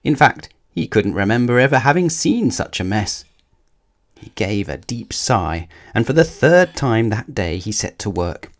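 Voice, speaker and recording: Male storybook narrator, fairly low register, scripted read-aloud for children. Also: very animated, a little slow.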